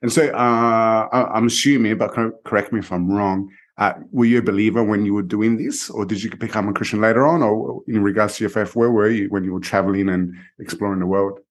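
Man, podcast host, conversational, fairly low pitch. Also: brisk, few pauses. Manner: relaxed, conversational, many fillers. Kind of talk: casual interview hosting.

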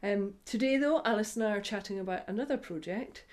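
A woman from the UK hosts a relaxed podcast interview, her voice at an everyday middle pitch. She sounds enthusiastic and laughs readily as she chats with her guest. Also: brisk. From United Kingdom. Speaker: podcast host. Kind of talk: casual interview chat.

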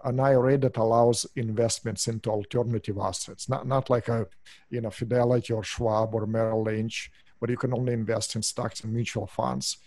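Russian-accented man, halting with many uhs. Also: mid-range voice, flat delivery, darkish tone, dry recording. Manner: hesitant, many uhs and restarts. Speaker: guest, business owner. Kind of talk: interview answers.

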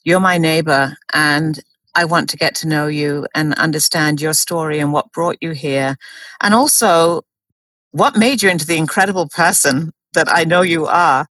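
A woman with a notably low voice hosts an interview, asking earnest, gently probing questions. The sound is dry and close, with no room echo. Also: moderate pace. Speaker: host. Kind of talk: interview, warm introduction.

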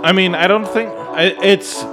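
A man with a fairly high voice chats casually as a podcast co-host. He explains musical ideas informally, thinking aloud, with piano and music clearly audible behind him. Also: fairly even intonation, measured pace.

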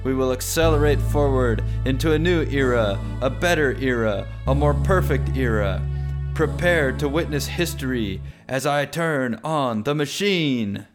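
A man playing a grandiose, excitable inventor in a radio drama, declaiming in a pompous, speechmaking way. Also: medium pitch, a little slow, over music, natural voice. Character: grandiose, excitable male inventor of a music-making machine. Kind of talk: scripted radio drama acting.

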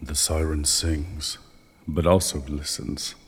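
Man acting a deep, slow, cryptic riddler. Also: flat delivery, slightly rough, heavily acted. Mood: cryptic, ominous. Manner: slow, portentous, theatrical delivery of riddles. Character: cryptic, taunting male doctor speaking in riddles. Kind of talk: radio drama acting.

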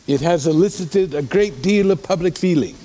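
Actor as a formal, higher-voiced Victorian gentleman. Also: close to an everyday voice. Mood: courteous. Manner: formal, measured, inquiring. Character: Utterson, sober, concerned Victorian gentleman friend. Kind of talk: period audio drama acting.

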